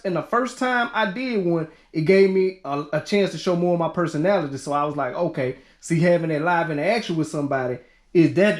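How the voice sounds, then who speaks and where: A man talking casually and in slangy, informal phrases as a podcast host with a guest. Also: high-pitched. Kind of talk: casual conversation. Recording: roomy echo.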